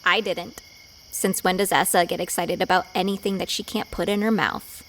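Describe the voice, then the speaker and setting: A young woman playing a cheeky, bubbly sidekick girl in an audio drama, playful and drawn-out in delivery. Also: on the low side, fairly even intonation, a bit bright, a little hiss or hum, lightly acted. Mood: teasing, indignant.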